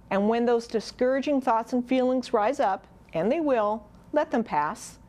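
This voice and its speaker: A woman delivering a scripted motivational talk in an earnest, deliberate manner, with a mid-range voice. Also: mid-range voice, darkish tone. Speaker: motivational speaker.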